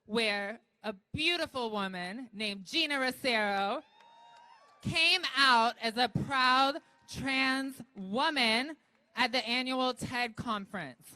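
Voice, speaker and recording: A woman's voice: a little high, slow pace, sharp tone, rough recording. A woman emceeing a march, thanking groups and introducing the next speaker with upbeat energy. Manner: upbeat, cheering. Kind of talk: event introduction. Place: outdoor rally.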